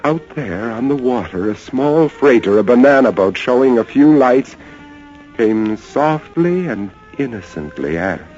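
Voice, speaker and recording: An actor playing a haunted lighthouse keeper telling his tale in a dramatic, halting vintage radio drama style. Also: mid-range voice, sing-song. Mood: uneasy wonder. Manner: dramatic, halting, suspenseful storytelling. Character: haunted lighthouse keeper, a man, recounting a rat siege. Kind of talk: 1940s-50s radio drama narration. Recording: dull vintage radio recording, loud music behind.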